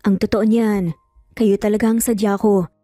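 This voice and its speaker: A woman voicing an earnest male lawyer in a dramatic story, in a gentle, pleading manner. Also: medium pitch, fairly even intonation, normal speed, dry recording, faint music behind, close to an everyday voice. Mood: calm, earnest.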